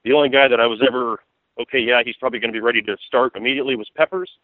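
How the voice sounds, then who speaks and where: A man's voice: mid-range voice, steady tone, rapid-fire, no room sound. An American man giving conversational sports analysis as a guest, rambling and repeating himself for emphasis. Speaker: sports beat writer, interview guest. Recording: tinny narrow phone line.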